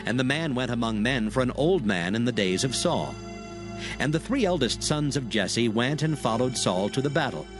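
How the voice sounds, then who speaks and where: A man narrating scripture as a scripted dramatic audiobook. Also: medium pitch.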